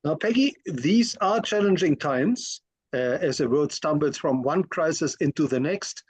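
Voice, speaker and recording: A man's voice: on the high side, animated, unhurried, slightly rough. A man hosting an interview, asking questions in a polite, friendly way. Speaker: interview host.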